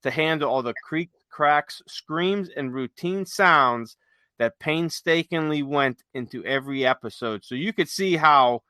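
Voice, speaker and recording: Bright, higher-pitched male podcast co-host. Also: unhurried, no room sound. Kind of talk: casual chat with some read-aloud notes.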